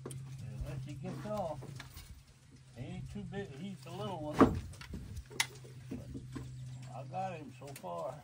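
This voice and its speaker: A man's voice: fairly high pitch, expressive, slow pace, gravelly, pauses often. A man, plainly chatting while fishing from a boat outdoors, recorded on a phone a few feet away. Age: 80s. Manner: plain-spoken country drawl, short remarks. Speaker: grandfather fishing. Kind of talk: casual chat. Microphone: phone camera a few feet away. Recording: loud outdoor noise.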